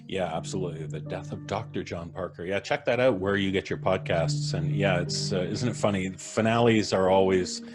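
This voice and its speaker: Rich: A very deep-voiced man hosting a live stream. He rambles and jokes as he introduces his guests. Music plays clearly behind him. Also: moderate pace, hardly any pauses. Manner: jokey, rambling, laughs at his own quips. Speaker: podcast host. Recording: music playing in the background.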